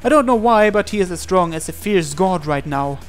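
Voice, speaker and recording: High-pitched man narrating a visual novel. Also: lively intonation, moderate pace. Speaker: let's player reading a visual novel aloud. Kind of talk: dramatic read-aloud narration of on-screen text. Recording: music behind the voice.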